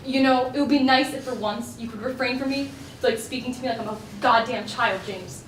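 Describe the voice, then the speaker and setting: An actress plays an opinionated woman who argues with earnest, emphatic frustration in a stage drama. Her voice is on the high side and comes from well back in a very echoey, noisy room. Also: brisk, close to an everyday voice. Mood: angry, hurt. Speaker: stage actor. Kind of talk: stage play dialogue. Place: indoor stage, recorded from afar. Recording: echoey stage recording, distant mic, noisy.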